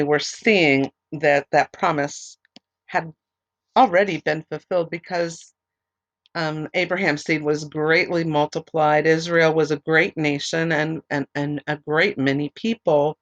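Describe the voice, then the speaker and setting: A woman teaching a Bible study, reading scripture aloud and then explaining it with many ums and restarts, her voice a little hoarse. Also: deep voice, fairly bright tone, dry recording, dull audio. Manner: reads verses steadily, then talks loosely with many ums and restarts. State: losing her voice, a bit hoarse. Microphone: online recorder, computer setup.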